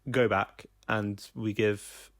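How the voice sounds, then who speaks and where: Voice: medium pitch, level tone, takes it slowly, no room sound, studio quality. A podcast host chatting casually, feeling out phrases in a conversational way. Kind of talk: casual chat.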